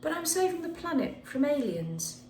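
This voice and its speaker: A woman's voice: high voice, very animated, moderate pace, darkish tone, recorded from a distance, voice pushed up. A woman voicing a polite, imaginative little boy who plays astronaut in a storybook. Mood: politely defiant. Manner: earnest, politely refusing. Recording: echoey room with faint background noise.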